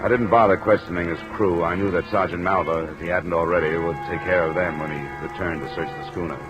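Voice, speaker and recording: A man's voice: low-pitched, quick pace, over loud music, natural voice, low-quality recording. A man playing a tough, probing American insurance investigator in a 1950s radio drama, clipped and dry in delivery. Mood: dry narration. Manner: clipped, persistent questioning, dry first-person narration. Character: tough, probing male freelance insurance investigator, narrating his case. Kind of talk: 1950s radio detective drama acting and narration. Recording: old radio recording with steady rain noise.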